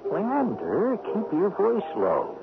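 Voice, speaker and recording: A shrewd, wildly inflected bookmaker. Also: male voice, high-pitched, huge pitch swings, slow, gravelly, background noise, exaggerated voice. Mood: exasperated. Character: shrewd male mob-connected bookmaker, evasive and unyielding. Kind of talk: 1970s radio drama acting. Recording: muffled old radio recording, loud music.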